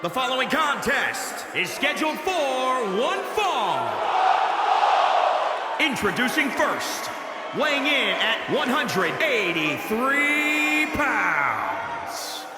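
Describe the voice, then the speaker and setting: Slow, high, shouting ring announcer. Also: male voice, big pitch swings, exaggerated voice. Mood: grand. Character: booming, showy male wrestling ring announcer. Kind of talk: drawn-out shouted ring introductions. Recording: echoing arena PA, crowd and music.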